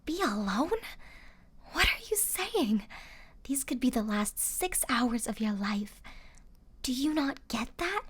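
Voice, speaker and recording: Woman acting a high-voiced, emotional apocalypse survivor. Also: big pitch swings, takes it slowly, long pauses, breathy edge, full character voice. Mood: pleading, upset. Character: distraught, loyal young woman surviving a zombie apocalypse. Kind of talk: ASMR roleplay acting.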